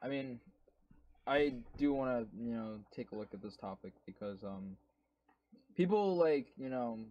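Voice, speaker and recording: A man's voice: mid-range voice, lively intonation, measured pace, mellow, a little hiss or hum. A man co-hosting a casual podcast, rambling with lots of fillers and some swearing. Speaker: co-host. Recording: dull lo-fi sound.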